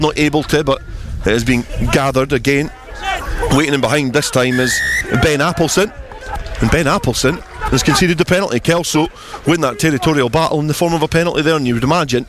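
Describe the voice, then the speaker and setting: A man giving flowing, descriptive live radio commentary on a rugby match. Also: medium pitch. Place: outdoors at a rugby ground. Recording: outdoor match with crowd noise. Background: crowd at the match.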